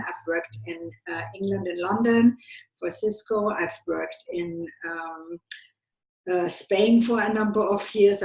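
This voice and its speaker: A woman from Germany with a German accent, answering interview questions in a measured way with frequent ums. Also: fairly low pitch, creaky, roomy sound, low-quality recording. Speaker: guest.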